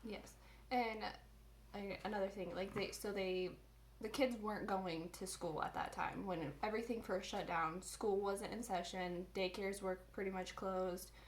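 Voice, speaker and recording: A young woman in her twenties talks in an easy, unscripted way at a middle pitch. She chats on her vlog and fills her pauses with ums. A little room noise hums faintly behind her. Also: steady tone. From Indiana, USA. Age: 20s. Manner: chatty, many ums and restarts. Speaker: vlogger. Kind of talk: casual chat.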